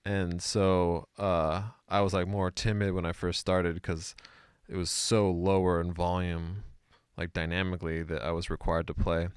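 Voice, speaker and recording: Low-pitched man, hesitant, drummer, interview guest, dry sound. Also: level tone, normal speed, hardly any pauses, clean tone, no room sound. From Hawaii and Washington State. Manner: soft-spoken, hesitant, lots of "like". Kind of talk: casual interview chat.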